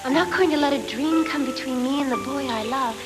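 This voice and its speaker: A woman's voice: high voice, a little fast, close to an everyday voice. A woman voicing a devoted, fierce young cyborg warrior girl in an anime dub, earnest and dramatic. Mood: tender, resolute. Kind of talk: dubbed anime voice acting. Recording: music behind, old VHS tape dub.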